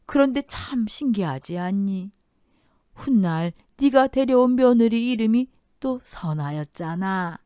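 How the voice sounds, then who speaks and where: A woman voicing a frail, dying old mother-in-law in a Korean radio story, tender and sentimental, with slow, drawn-out reminiscing. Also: on the high side, expressive, mellow, clean tone, no room sound, studio quality, pitched above the performer's own voice. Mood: wistful, dreamy.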